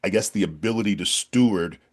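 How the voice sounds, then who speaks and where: A man's voice: fairly low pitch, fairly quick. A man co-hosting a podcast who asks enthusiastic, long follow-up questions. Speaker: podcast co-host. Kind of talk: interview hosting.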